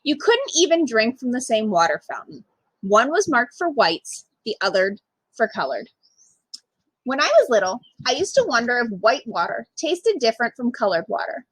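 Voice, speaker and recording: A woman reading a children's picture book aloud as a storyteller, with emphatic, expressive delivery for young listeners. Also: on the high side, normal speed, slightly rough, dry recording, low-quality recording. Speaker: storytime reader, literacy program manager.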